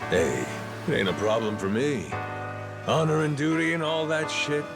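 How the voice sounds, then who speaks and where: A man's voice: a little high, very animated, normal speed, gravelly. An actor playing a brash, sardonic tough guy with a blunt delivery in a game cutscene. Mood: teasing. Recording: music under the voice.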